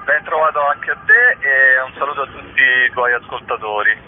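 A man's voice: medium pitch, normal speed, slight background noise. A man speaking as an interview guest, a theatre festival curator explaining his work. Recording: narrow, tinny phone line.

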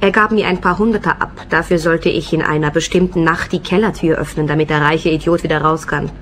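Worldly call girl, lowish voice, cool and cheeky, radio play, noisy ambience. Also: fairly even intonation, brisk, fairly bright tone. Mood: matter-of-fact. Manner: cool, matter-of-fact, cheeky.

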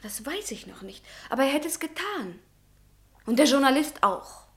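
Casting: sharp young woman, bright, tense voice, pointed and teasing. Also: high-pitched, very animated, moderate pace. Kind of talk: radio play acting.

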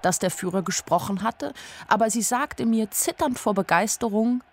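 A woman's voice: medium pitch, animated, moderate pace. An actress playing a naive young woman who tells her story candidly and wryly in a radio play. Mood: candid storytelling. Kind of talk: radio play first-person narration.

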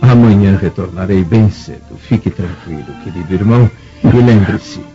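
An actor playing a dignified, consoling Roman senator in a Brazilian radio drama, speaking formally and with measure in a Brazilian accent. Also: male voice, deep voice, moderate pace, mellow, natural voice. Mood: calm, solemn. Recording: muffled audio with faint music.

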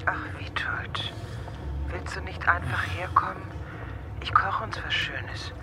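A woman's voice: lowish voice, slow pace, in a whisper. An actress playing a devoted, anxious middle-aged woman in a radio drama, with a solicitous, pleading manner. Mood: tender, dreamy.

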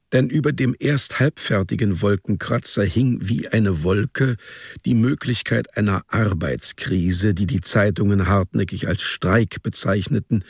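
Gravelly male radio drama narrator. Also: lowish voice, dry recording, studio quality.

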